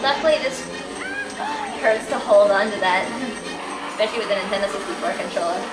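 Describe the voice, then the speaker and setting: A woman's voice: mid-range voice, expressive, fast talker, a loud crowd. A young woman chatting casually while playing a kart racing game with a friend, talkative and a little self-deprecating. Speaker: let's play host. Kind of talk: casual gameplay chat. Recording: echoey room with game music.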